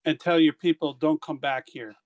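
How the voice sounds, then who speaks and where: A man with a slightly raised pitch, talking through his character's orders at a tabletop game. He speaks haltingly, restarting words and phrases as he goes. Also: fairly even intonation, darkish tone. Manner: halting, restarts mid-sentence. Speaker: player.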